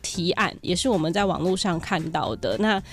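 A woman's voice: lowish voice, expressive, normal speed. A woman with a Taiwanese Mandarin accent, hosting a podcast in a conversational way. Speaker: podcast host. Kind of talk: conversational podcast introduction and banter.

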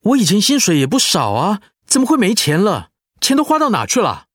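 A man's voice: high-pitched, huge pitch swings, brisk, fairly bright tone, no room sound, clean studio sound, full character voice. A man acting a proud, short-tempered middle-aged husband in a radio drama. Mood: demanding, indignant.

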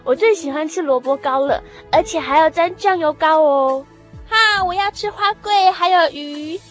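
A woman playing a sweet, excitable little granddaughter in a radio drama. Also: high voice, normal speed, soft background music, lightly acted. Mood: excited, eager.

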